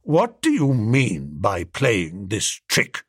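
A man voicing a haughty old English duke, stiff, formal and coldly polite in a measured way. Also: medium pitch, very animated, dry recording, clean studio sound. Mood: stern.

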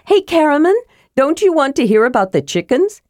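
A woman acts out a small, innocent adventurer from a fantasy storybook. The voice is high and shrill, leaping about with bubbly excitement. He rambles on eagerly and cheerfully in a close, dry recording. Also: moderate pace, no echo. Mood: proud, eager. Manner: rambling, eager, breathlessly enthusiastic.

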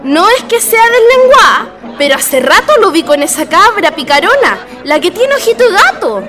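A woman playing a bossy, jealous, sharp-tongued working-class wife with a Chilean accent in a radio play. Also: extremely high pitch, sing-song, moderate pace, exaggerated voice. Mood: scolding. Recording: loud music and crowd behind. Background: festive music and crowd.

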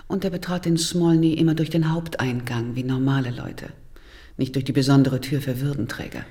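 An actress playing a composed, pointed widow of a Soviet leader in a German radio crime drama. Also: female voice, a bass voice, fairly even intonation, fairly quick, mellow.

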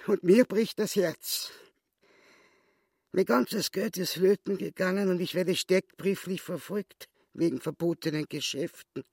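Husky, slow, histrionic little crook. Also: male voice, high voice, no echo, clean studio sound, full character voice. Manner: theatrical, wheedling, emphatic pauses. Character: scheming self-pitying little crook boss, histrionic swindler. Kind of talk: radio play acting.

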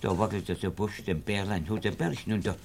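A humble shoemaker in a vintage radio play with some background noise. His voice is on the low side. He speaks in a folksy, hesitant way, deferential to authority yet stubbornly insistent. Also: a little fast, vocal fry, darkish tone. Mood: earnest. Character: humble, opinionated small-town shoemaker giving testimony to police. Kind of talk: 1950 German radio drama acting. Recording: noisy vintage 1950 radio play recording.

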